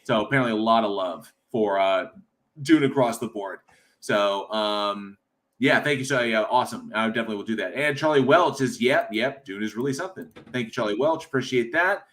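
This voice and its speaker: A man's voice: on the low side, fairly quick, fairly bright tone. An American man hosting a casual livestream, rambling with many fillers and reading chat aloud from home. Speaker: livestream host.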